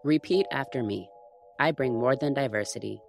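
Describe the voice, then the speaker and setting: Low-voiced woman hosting a podcast. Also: normal speed, creaky, music in the background. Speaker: podcast host. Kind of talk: casual podcast interview.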